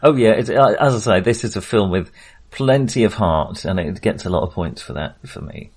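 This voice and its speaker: Low voiced middle aged guy chatting on a film podcast, measured and a bit wry. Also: lively intonation, a little mellow. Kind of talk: casual film discussion.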